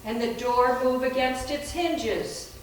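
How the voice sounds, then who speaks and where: A woman's voice: sits high, takes it slowly. A woman reading dramatic scripted narration in a readers theater performance. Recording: very echoey room, distant mic, background noise.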